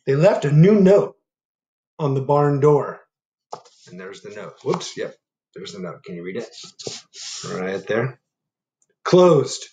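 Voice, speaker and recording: A middle-aged man reading a picture book aloud to children at home on a webcam, animated and asking them questions. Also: medium pitch, unhurried, long pauses, roomy sound, lo-fi sound, slight background noise. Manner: animated, asks the young audience questions. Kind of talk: children's storytime read-aloud. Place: home living room. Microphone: webcam at desk.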